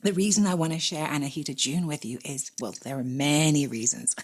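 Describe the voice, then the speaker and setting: A woman's voice: low voice, brisk, breathy edge. A woman hosting a podcast, effusive and affectionate, often laughing as she introduces her guest. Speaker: podcast host. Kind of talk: warm interview chat.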